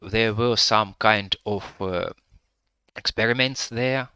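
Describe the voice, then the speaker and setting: A man with a Russian-Ukrainian accent leads a live virtual tour in slow, hesitant English, often pausing and filling gaps as he searches for words. His voice is bright and rather low, recorded close and dry with no room sound. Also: clear, resonant tone, no room sound. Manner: halting non-native English with frequent fillers.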